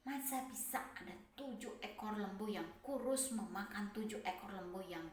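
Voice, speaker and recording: A woman playing a loud, bossy, fretful king. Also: mid-range voice, close to an everyday voice. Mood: puzzled. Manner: loud commanding shouts, slow drawn-out orders. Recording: roomy, mic at a distance.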